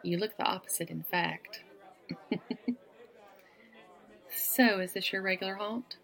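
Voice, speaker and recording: A woman's voice: mid-range voice, fairly even intonation, takes it slowly, creaky, fairly bright tone, some chatter behind, poor audio. A woman from the American South with a Southern accent, teasing and flirtatious in a one-sided audio roleplay, laughing often. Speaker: woman flirting with a stranger at a bar.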